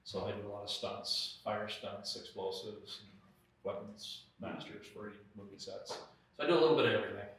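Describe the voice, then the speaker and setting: A man's voice: fairly low pitch, brisk, a bit bright. A man, an actor and stunt performer, giving a casual self-introduction. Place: rehearsal studio. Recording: very echoey room, distant, faint noise.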